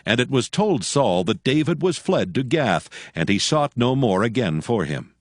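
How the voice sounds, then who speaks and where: A man's voice: medium pitch, sing-song, normal speed, no echo, clean studio sound. A man narrating scripture from a script in a solemn, formal storytelling manner.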